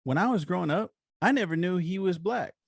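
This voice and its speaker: Creaky-voiced American man, casual podcast co-host. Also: high-pitched, expressive, fairly quick, no room sound, studio quality. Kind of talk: casual chat.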